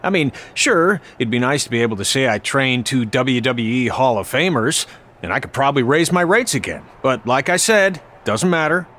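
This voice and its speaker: A man's voice: mid-range voice, expressive, moderate pace, a bit bright, a little hiss or hum, natural voice. A man playing a boastful, proud veteran wrestling trainer in a video game cutscene, glib and evasive.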